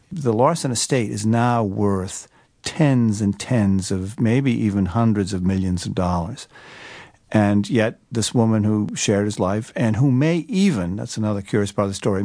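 A man explaining things in measured, careful phrases as an interview guest. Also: on the low side, a little mellow, no echo, studio quality. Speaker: newspaper writer, interview guest.

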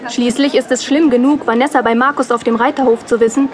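A woman's voice: fairly high pitch, fairly even intonation, fast, crowd noise. A woman narrating a radio play in a wry, lightly ironic tone. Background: music.

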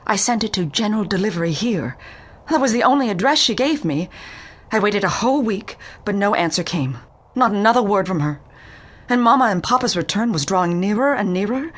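An actress playing a timid, refined young woman client in a detective audio drama, speaking in a halting, pleading manner. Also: medium pitch, lively intonation, lightly acted. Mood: anxious.

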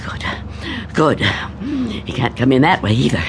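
An actress playing a frightened, suspicious shut-in old woman in a radio drama, fretful and halting, repeating herself. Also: rumbling low, expressive, gravelly, noisy background, exaggerated voice. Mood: anxious muttering.

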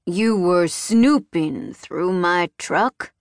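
A woman voicing a cruel father in an audiobook, speaking softly and slowly with quiet menace. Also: lowish voice, flat delivery, clear, resonant tone, dry recording, studio quality. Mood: menacing. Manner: soft, slow, quietly menacing.

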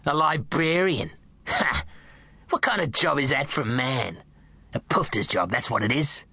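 A spiteful old grandmother, drunk and sneering, her voice gravelly and sharp-edged, with a man doing her voice. The words bite and the tone scoffs. It is close and dry, with no room around it. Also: lowish voice, normal speed, dry recording.

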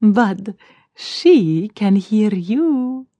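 Woman as a sly, slow-talking female spider. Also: medium pitch, expressive, mellow, no room sound. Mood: mischievous. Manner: sly, drops in Spanish words. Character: mischievous female banana spider, teasing and curious. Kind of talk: children's storybook character voice.

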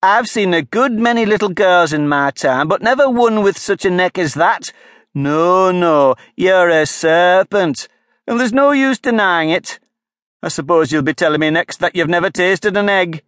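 A man doing a shrill, scolding pigeon. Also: lively intonation, moderate pace, a bit bright, no room sound, clean studio sound. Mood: contemptuous. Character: fretful, shrill female pigeon guarding eggs.

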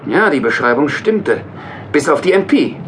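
An actor playing a detective's alert, loyal male partner in a German audio drama, brisk and decisive. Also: a little high, sing-song, noisy background. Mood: bantering. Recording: music under the dialogue.

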